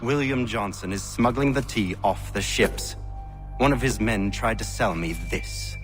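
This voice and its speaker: Low-voiced, serious colonial tradesman played by a man. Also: unhurried, faint music behind, lightly acted. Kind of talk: dramatic game cutscene acting.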